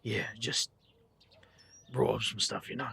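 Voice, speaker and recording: Husky, wry young man. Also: mid-range voice, little pitch movement, unhurried, sharp tone, exaggerated voice. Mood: sheepish.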